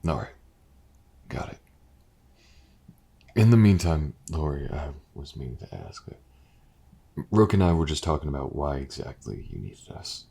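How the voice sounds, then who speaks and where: A man playing a wary, rough-edged character in an audio drama, speaking bluntly and haltingly. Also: extremely low pitch, big pitch swings, warm tone, heavily acted. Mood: curious, wary. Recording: faint noise and ambience.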